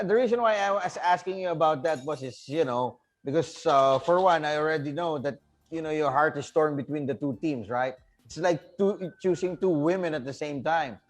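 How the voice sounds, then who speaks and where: A man hosting a sports talk show, asking long, rambling questions to a coach. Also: on the high side. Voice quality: chatty, rambling questions with "if I'm not mistaken". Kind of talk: casual interview.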